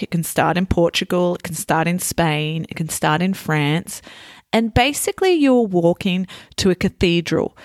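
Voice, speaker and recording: Slow, clear woman podcast host. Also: fairly low pitch, no echo, clean studio sound. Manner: patient, defines words simply for learners. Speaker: podcast host for English learners. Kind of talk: slow, clear explanatory teaching talk.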